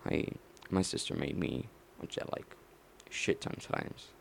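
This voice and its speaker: A young man rambling on his own late at night, mumbling slowly and halting often with fillers. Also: a little hiss or hum.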